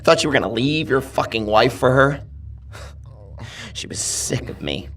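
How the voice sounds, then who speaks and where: A man voicing a sadistic, grief-stricken masked torturer in a horror audio story, slow and menacing with bursts of rage, husky and gravelly. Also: mid-range voice, animated, a bit bright, recorded from a distance, voice pushed up, natural voice. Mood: bitter.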